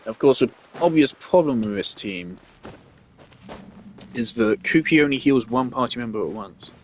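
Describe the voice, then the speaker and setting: A man giving casual gameplay commentary, thinking out loud with fillers and the odd swear. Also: mid-range voice, animated, moderate pace, a little hiss or hum. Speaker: gamer testing team setups.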